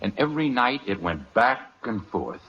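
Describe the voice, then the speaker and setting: An actor playing a stubborn, indignant family friend who spins ghost tales in an old-time radio comedy. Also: male voice, medium pitch, sing-song, normal speed, husky. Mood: insistent. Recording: dull old radio recording.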